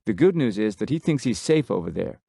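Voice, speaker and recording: A man voicing a brisk male American commander with a Texan drawl, giving a loud, commanding briefing. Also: on the low side, big pitch swings, vocal fry, breathy edge, dry recording, studio quality, voice pushed up, heavily acted. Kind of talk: audiobook character voice.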